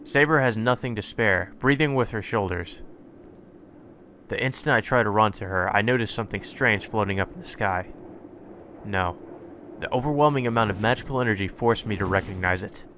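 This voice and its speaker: A man reading visual novel narration aloud for a let's play, in a deliberate, dramatic manner. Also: on the low side, sharp tone, a little hiss or hum.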